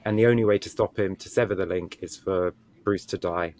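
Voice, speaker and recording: A man with a fairly low voice talks as a panelist in a casual book club discussion. He is informal and blunt, laying out his opinions plainly. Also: a little fast, a little mellow.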